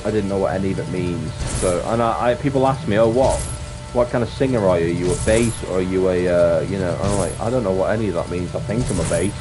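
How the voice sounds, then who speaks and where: A man with a deep voice chatting casually on a livestream, rambling reflectively and often restating his phrases. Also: fast. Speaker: streamer and actor. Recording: music playing behind the voice. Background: clear background music.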